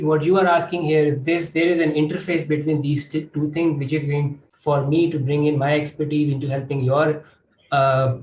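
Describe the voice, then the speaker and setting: A man's voice: a little high, darkish tone. A man with a Pakistani accent speaking as a panelist, encouraging and explaining by restating his points. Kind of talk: encouraging advice in an online panel discussion. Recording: echoey room with faint noise.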